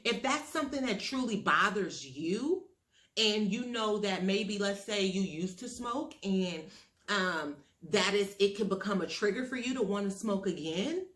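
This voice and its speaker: A woman's voice: medium pitch, animated, a little slow, a bit bright, reverberant. A woman giving an earnest motivational talk, emphatic and repeating her key phrases. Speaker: motivational speaker. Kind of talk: motivational monologue.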